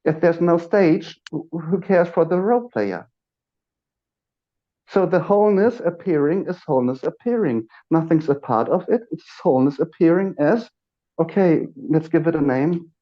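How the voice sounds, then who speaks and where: A man as an interview guest, speaking reflectively about spiritual ideas, searching for words with many pauses and restarts. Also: on the high side, lively intonation, muffled recording. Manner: halting, searching for words, with frequent fillers and restarts. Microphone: video call.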